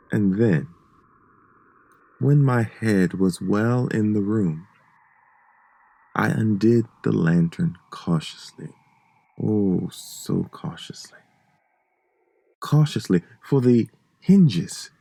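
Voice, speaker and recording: A man performs a gothic horror monologue as a nervous, obsessive madman, in a dark, lowish voice with a creaky edge. He is theatrical and deliberate, leaning on emphatic pauses. The recording is close and dry. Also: no echo. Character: nervous, obsessive madman confessing, insisting on his sanity. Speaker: narrator, reader. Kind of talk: dramatic horror story reading in character. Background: eerie music and sound effects.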